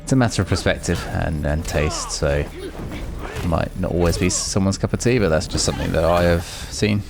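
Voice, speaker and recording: Low-voiced man giving gaming commentary. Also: normal speed, music in the background. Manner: informal, chatty, rambling. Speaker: gaming video host. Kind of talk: casual gameplay commentary. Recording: noisy with clear background noise.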